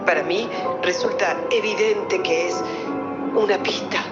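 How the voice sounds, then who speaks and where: An actress playing an anxious, sentimental wife in a radio play. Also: female voice, on the low side, unhurried, lightly acted. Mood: curious. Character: anxious, sentimental wife missing her husband. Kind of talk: theatrical radio play acting. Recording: dull sound under loud music.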